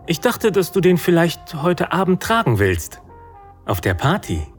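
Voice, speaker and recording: A man playing a loving, nervously eager boyfriend in an intimate audio drama, speaking hesitantly and haltingly. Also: a little high, wildly swooping pitch, faint music behind. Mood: nervous, hopeful.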